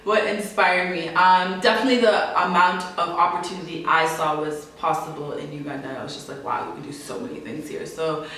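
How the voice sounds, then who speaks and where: Bright, chatty young woman in an echoey room. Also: sits low, fairly quick, few pauses, distant mic, slight background noise. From Canada. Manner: laughs often, animated, chatty. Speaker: interview guest. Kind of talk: casual interview answers.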